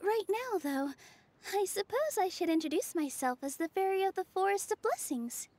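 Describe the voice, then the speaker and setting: A woman voicing a gentle, gracious young dancer in a video game, polite and soothing. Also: very high-pitched, lively intonation, normal speed, no room sound. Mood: friendly, gracious. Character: gentle, gracious young female dancer playing forest fairy. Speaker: game character voice. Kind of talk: animated game voice acting.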